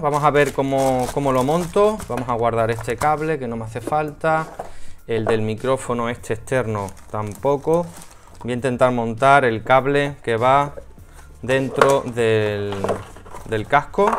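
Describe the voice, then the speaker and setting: A man in his thirties with an accent from Spain, talking casually and a bit hesitantly through a hands-on product review. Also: mid-range voice. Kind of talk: casual unboxing and installation walkthrough. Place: small home office room.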